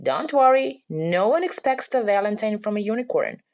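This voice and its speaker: A woman's voice: mid-range voice, expressive, normal speed, a little mellow, muffled recording, close to an everyday voice. A woman voicing a cheerful, affectionate little girl in a children's storybook read-aloud. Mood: bright, eager.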